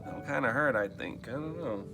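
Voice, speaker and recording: A man's voice: medium pitch, expressive, fairly quick, creaky, over music. A man reading a picture book aloud to children, expressively, dropping into casual muttered asides and questions for his listeners. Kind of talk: animated storybook read-aloud with casual asides.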